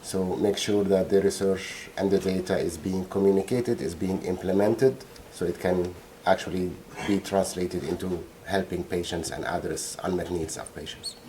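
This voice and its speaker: A man's voice: low voice, steady tone, moderate pace, background noise. A middle-aged man, testifying as a witness in a courtroom and searching for his words. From Egypt.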